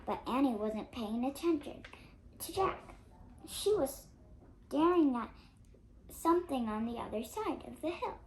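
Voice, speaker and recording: An eight-year-old girl reading a storybook aloud, carefully and a little haltingly, with expression. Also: high-pitched, animated. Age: child of 8. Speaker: young reader. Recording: noisy home recording.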